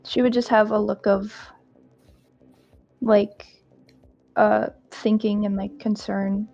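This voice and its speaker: A woman's voice: mid-range voice, level tone, slow, vocal fry, warm tone. A woman player describing her character's actions casually, with many fillers. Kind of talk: casual tabletop narration. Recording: dull lo-fi sound.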